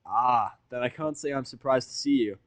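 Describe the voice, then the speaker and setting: A man's voice: mid-range voice, normal speed, no echo, close to an everyday voice. A man playing an irritable, wary human man in a fantasy audio drama, with blunt, guarded speech.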